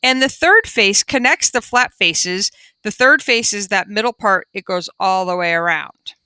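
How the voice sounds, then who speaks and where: A woman's voice: medium pitch, unhurried, bright, forceful tone, clear, resonant tone, no room sound. A woman teacher reading a children's book aloud and adding simple explanations for young pupils. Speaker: primary school teacher.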